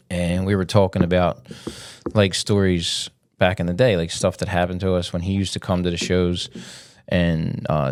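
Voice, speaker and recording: A man's voice: sits low, darkish tone, clean tone, no echo, clean studio sound. A man in his forties, stuffed up and sniffling, hosting a casual, rambling solo podcast and telling stories with lots of fillers. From Philadelphia area. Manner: rambling, loose storytelling with many fillers. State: congested, sniffling. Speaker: podcast host. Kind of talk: casual monologue.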